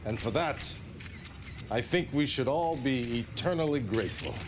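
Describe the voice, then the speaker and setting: An actor playing a smooth, self-satisfied director making a measured public statement in a video game. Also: male voice, mid-range voice, animated, noisy background, close to an everyday voice. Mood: solemn, self-satisfied.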